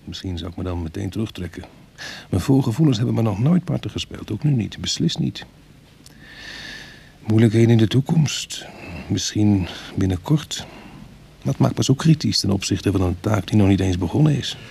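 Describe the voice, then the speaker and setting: Wry sceptical ex-burglar in a radio play, husky lowish voice, brooding and hesitant. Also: male voice, animated, normal speed, mellow, heavily acted. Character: wry, sceptical male ex-burglar on a prison committee. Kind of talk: Dutch radio drama acting.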